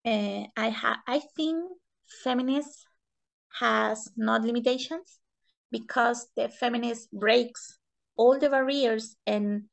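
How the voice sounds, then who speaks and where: A woman from Chile with a Chilean Spanish accent, giving interview answers in halting non-native English and searching for words. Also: a little high, creaky. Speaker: interview guest, economist and feminist.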